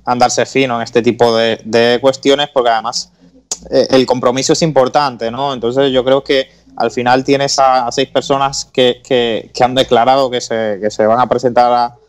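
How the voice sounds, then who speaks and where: A man's voice: medium pitch, level tone, moderate pace. A man on a sports talk radio show, weighing in with frequent repeats and restarts. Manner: hesitant, repeats words, tags with "¿no?".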